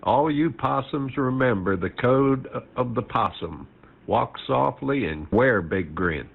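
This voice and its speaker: An American man on an amateur radio net, reciting a folksy, homespun sign-off in a measured way. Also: mid-range voice, expressive, gravelly, slightly rough. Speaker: ham radio net participant. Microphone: internet-linked ham radio transmission. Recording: narrow phone-like radio link audio.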